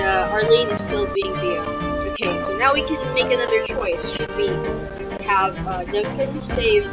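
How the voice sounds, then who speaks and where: Woman, chatty game commentary, lively up-and-down voice, loud game music underneath. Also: sits high, rough recording. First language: Filipino. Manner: chatty, talks through her choices with restarts. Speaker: gaming YouTuber. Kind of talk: let's-play commentary, reading game text aloud.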